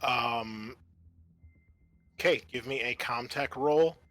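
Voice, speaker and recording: A man with a bright tone narrating a tabletop roleplaying game as game master. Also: mid-range voice, slow.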